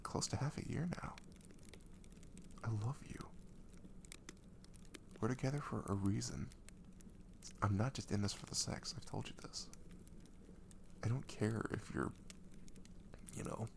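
A man playing a gentle, caring boyfriend in an intimate ASMR roleplay, speaking softly and breathily, often half-whispering, with casual, hesitant reassurance. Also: lowish voice, creaky. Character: caring, gentle young boyfriend comforting his girlfriend.

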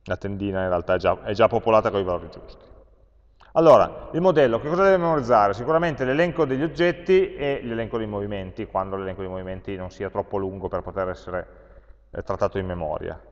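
An Italian man teaching a programming class, thinking aloud as he types code, with frequent pauses and fillers. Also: lowish voice. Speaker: university lecturer. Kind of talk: live-coding programming lesson. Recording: dull lo-fi lecture capture with long hall reverb.

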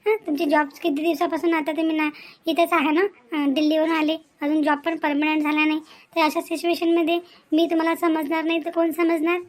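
A woman voicing a modest, considerate young wife in a cartoon family drama, gentle and deferential, with a Maharashtrian Marathi accent. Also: high-pitched, little pitch movement, a little fast, natural voice. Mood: touched, earnest.

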